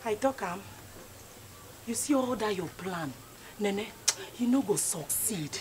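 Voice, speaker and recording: An actress playing a loud, scolding young woman with a Nigerian accent. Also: medium pitch, lively intonation, slow pace, background noise. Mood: angry. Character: fiery young woman named Lucy, quarrelsome.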